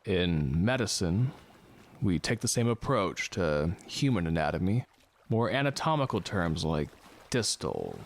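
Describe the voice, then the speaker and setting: An actor playing a literal-minded man of medicine in a scripted audio drama, explaining things in a deliberate, didactic, plain manner. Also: low-pitched, big pitch swings, exaggerated voice. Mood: didactic, calm.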